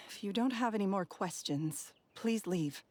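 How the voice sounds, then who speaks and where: A woman's voice: medium pitch, animated, a little slow, darkish tone, slight background noise, lightly acted. A woman voicing a guarded, weary female character under questioning in a video game, curt and withdrawn. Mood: guarded, sorrowful.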